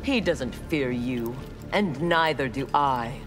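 Sektor: a haughty young ninja. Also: female voice, a bass voice, slow pace, music in the background. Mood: cold. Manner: cold, haughty, clipped. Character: proud ruthless young female ninja warrior. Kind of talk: video game dialogue.

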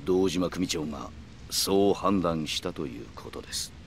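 An actor playing a calm, calculating middle-aged yakuza man who speaks in polite, measured, formal phrases in a video game. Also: low voice, slight background noise. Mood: cool, firm. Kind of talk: Japanese video game cutscene acting.

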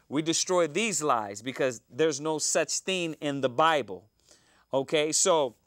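A man in his thirties hosts a live cable talk show from a small studio. His voice is smooth and clear at a middling pitch, and the sound is dry and close. He rambles, restarting often with fillers. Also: a bit bright, no room sound. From Houston, Texas. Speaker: host.

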